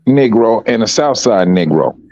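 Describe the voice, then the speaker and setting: A man from Chicago talking in a measured, reflective way, often repeating himself as he gathers his thoughts. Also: lowish voice, expressive, highs cut off, crowd noise. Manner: measured, repeats words as he thinks. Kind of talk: casual reflective chat.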